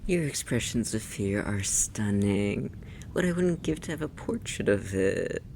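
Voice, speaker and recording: Slow, gravelly, possessive demon acted out by an ASMR performer. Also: medium pitch, animated, a little mellow, slight background noise. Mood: gloating, savoring. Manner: condescending, teasing, imperious, theatrically formal. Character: possessive, haughty demon toying with a human captive. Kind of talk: ASMR roleplay.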